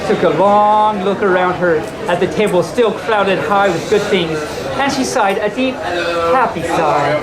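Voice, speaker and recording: An androgynous-sounding storyteller tells a bedtime tale live in an informal way. The delivery is halting, with repeated and corrected phrases. The large indoor room is full of loud crowd noise. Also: medium pitch, rough recording. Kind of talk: informal storytelling to an audience, with asides.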